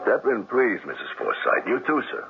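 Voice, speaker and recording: An actor playing a matter-of-fact homicide sergeant in a radio drama, speaking in a brisk, businesslike, polite way. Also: male voice, mid-range voice, very animated, loud music behind the voice. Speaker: police sergeant. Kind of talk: 1970s radio drama acting. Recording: dull old radio broadcast with clear hiss.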